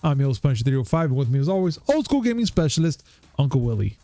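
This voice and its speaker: A man's voice: medium pitch, big pitch swings, rapid-fire, mellow, no echo. A man in his thirties hosting a casual gaming news show, chatting in a hyped, laid-back way. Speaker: podcast host.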